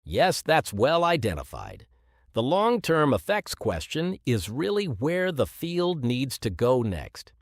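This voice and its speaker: Male actor as authoritative professor, critical and precise, highly varied intonation, dry close sound. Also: huge pitch swings, takes it slowly, no room sound, exaggerated voice. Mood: measured, approving. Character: male professor supervising students, exacting and measured. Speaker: academic supervisor. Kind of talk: scripted exam listening dialogue.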